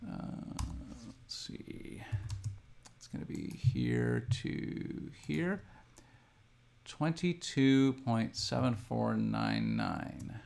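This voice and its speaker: A middle-aged man giving an unscripted tutorial, thinking aloud in a halting, methodical way as he talks through each step. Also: mid-range voice, creaky, a little mellow. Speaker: instructor. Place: indoor room at a desk, computer screen recording.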